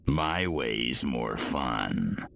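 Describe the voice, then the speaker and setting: A deep, gravelly alien invader, cocky and trigger-happy. He drawls sardonic video game wisecracks at an unhurried pace. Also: lively intonation, fairly bright tone, full character voice. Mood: sardonic, defiant. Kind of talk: video game cutscene acting.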